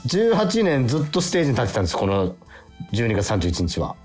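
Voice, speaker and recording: A man hosting a radio show, chatting casually and reading listener letters aloud in a halting, repetitive way. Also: medium pitch, huge pitch swings, a little mellow, slightly rough. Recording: music playing behind. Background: background music.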